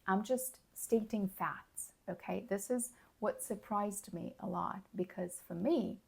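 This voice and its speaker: A hoarse woman giving a slow, clear English lesson, explaining words plainly and checking in with the listener. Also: medium pitch, a little mellow. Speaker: English teacher. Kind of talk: slow, clear teaching monologue.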